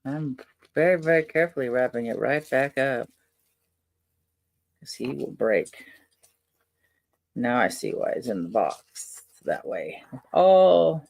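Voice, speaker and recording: A middle-aged man with a soft voice, chatting casually while he unpacks and crafts. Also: mid-range voice, animated, slow pace, vocal fry, long pauses, no echo. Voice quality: soft-spoken. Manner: rambling, chatty, many fillers and restarts. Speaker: vlogger unboxing and crafting.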